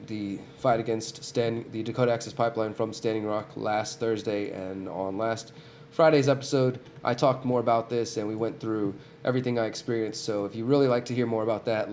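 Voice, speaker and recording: A man with a fairly low voice hosts a live stream while fighting a cold. He talks to his viewers in an earnest, direct way, restarting phrases as he goes. Faint room noise sits behind him. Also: a little mellow. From United States. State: has a cold. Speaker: host of a weekly live stream. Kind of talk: casual live-stream commentary.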